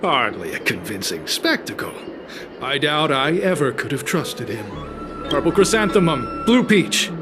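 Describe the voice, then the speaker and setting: Slow, sinister cult recruiter. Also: male voice, mid-range voice, wildly swooping pitch, over music. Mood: smugly approving. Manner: formal, measured, politely menacing. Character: calm, coolly sinister male cult recruiter. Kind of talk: scripted video game cutscene acting.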